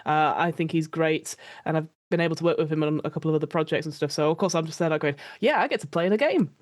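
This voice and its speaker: A woman's voice: low voice, fast. A woman guesting on a roleplay podcast, chatting casually in an effusive way. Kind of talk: casual chat.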